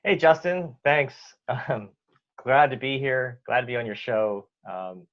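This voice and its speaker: A man with a Canadian accent, a guest answering interview questions in a relaxed way, with many fillers and restarts. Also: mid-range voice, measured pace. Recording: dull lo-fi audio.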